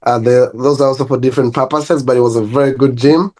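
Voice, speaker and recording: A man's voice: medium pitch, fast talker. A young Ugandan man with a Ugandan accent, a personal trainer chatting easily as an interview guest, laughing between stories. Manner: relaxed storytelling with laughs and restarts. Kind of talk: casual interview.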